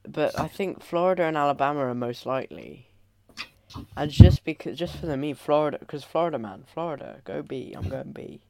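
A man co-hosting a casual podcast, playfully reasoning out loud while guessing quiz answers. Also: on the high side, brisk, vocal fry. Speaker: podcast co-host. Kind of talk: casual chat.

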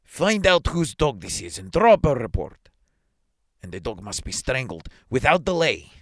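A man's voice: mid-range voice, very animated, measured pace, no room sound, clean studio sound. Man putting on a pompous, blustering police superintendent. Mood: stern, threatening. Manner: pompous, barking orders and questions. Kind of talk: dramatised short story reading.

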